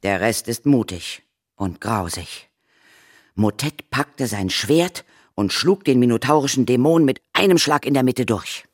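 An actress playing a stern, commanding veteran ghost hunter in a children's radio play. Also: female voice, a bass voice, expressive, measured pace, breathy edge, no room sound, studio quality, heavily acted. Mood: grave storytelling. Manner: stern, no-nonsense.

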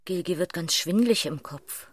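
An actress narrating a radio play as an anxious inner voice in clipped phrases. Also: female voice, lowish voice, expressive, moderate pace, slightly rough, no room sound.

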